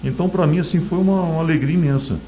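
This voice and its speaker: A man's voice: on the high side, very fast, mellow, slight background noise. A middle-aged man with a Brazilian accent, an author telling stories in a conversational interview.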